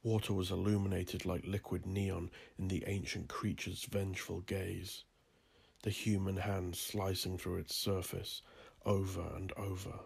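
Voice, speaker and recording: Deep gravelly guy reading a creepy horror tale, real slow and brooding, kinda husky. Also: little pitch movement. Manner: slow, brooding, deliberate delivery. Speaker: narrator. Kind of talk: dark horror audiobook narration.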